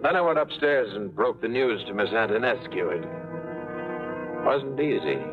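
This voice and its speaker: An actor as a breezy hard-boiled adventurer. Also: male voice, mid-range voice, expressive, moderate pace, lightly acted. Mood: narrating. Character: wisecracking American adventurer pilot, tough and cynical. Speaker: narrator of the story. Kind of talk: 1950s radio drama acting. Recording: muffled 1950s radio broadcast with music.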